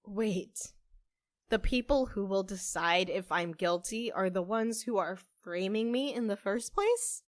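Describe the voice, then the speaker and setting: Woman doing a wry fed-up barista in a podcast drama, terse, middle pitch, very dry sound. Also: unhurried, no room sound, close to an everyday voice. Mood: frustrated. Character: wry, frustrated barista wrongly accused of murder. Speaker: voice actor in a fiction podcast. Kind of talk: audio drama dialogue.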